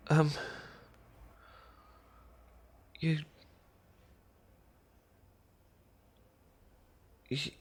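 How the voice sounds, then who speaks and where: A man acting a shy British best friend with a crush in a romantic ASMR roleplay. He speaks slowly and tenderly, stammering and hesitating, affectionate throughout. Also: medium pitch, a bit bright. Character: shy, affectionate boyfriend-to-be best friend teaching sushi making.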